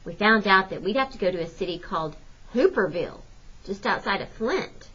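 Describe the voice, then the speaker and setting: A woman reading a folksy first-person novel aloud as expressive storybook narration, like a teacher. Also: mid-range voice, brisk, slightly rough, lo-fi sound.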